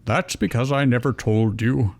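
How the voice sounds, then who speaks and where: A man voicing a calm, caring but stern frog ninja creature, scolding yet gentle, in a fan fiction reading. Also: mid-range voice, normal speed, creaky, dry recording, natural voice. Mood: matter-of-fact.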